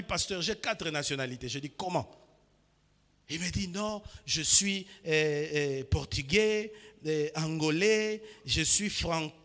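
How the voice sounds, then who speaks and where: A high-pitched, bright-voiced pastor from DR Congo preaches with fervor, drops to soft asides and then rises to ringing shouts that pull his listeners into call and response. His voice rings out through a church hall with a long reverb tail. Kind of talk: sermon.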